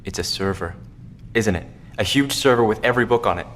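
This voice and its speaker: Audio drama: clever, smug male survivor, actor, lowish voice, eager deducer. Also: a little fast, a bit bright, slight background noise, close to an everyday voice. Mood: inquisitive, smug. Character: sharp-eyed, know-it-all male survivor, eagerly deducing.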